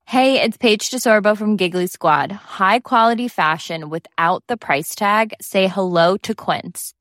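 A woman's voice: mid-range voice, a little slow, fairly bright tone, no echo, clean studio sound. A woman delivering a scripted podcast advert, listing products and speaking straight to the listener. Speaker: advertisement presenter.

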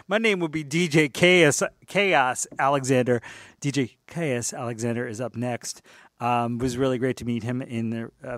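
A man's voice: mid-range voice, no room sound, clean studio sound. A man hosting a music radio show, talking casually and off the cuff in a rambling, playful way, as if thinking aloud. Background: faint-to-clear music bed.

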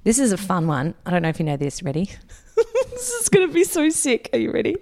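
A woman's voice: mid-range voice, huge pitch swings, fast talker, vocal fry, a little mellow. A woman co-hosting a casual podcast, teasing her partner and humming TV theme tunes with lots of giggles. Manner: playful, giggly, bossy teasing. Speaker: podcast co-host.

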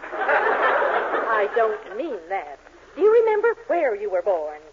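Bossy, impatient matron. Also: female voice, mid-range voice, huge pitch swings. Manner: brisk, commanding, exasperated. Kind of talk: 1940s radio sitcom acting. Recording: dull, hissy 1940s radio broadcast.

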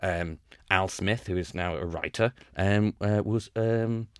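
A man telling a rambling anecdote as a comedy podcast guest, halting and laughing as he goes. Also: deep voice, clear, resonant tone, no room sound, clean studio sound. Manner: halting, many restarts, laughs often.

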